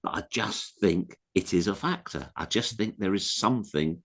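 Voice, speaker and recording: A man's voice: low voice, moderate pace. A man talking as a guest on a football interview show, earnest and reflective, stressing his points. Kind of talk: casual interview chat.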